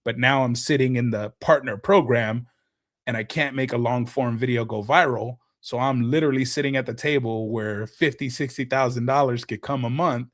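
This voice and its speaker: A man's voice: mid-range voice, moderate pace. A man guest on a casual podcast, animatedly explaining things through gaming comparisons. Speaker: guest content creator.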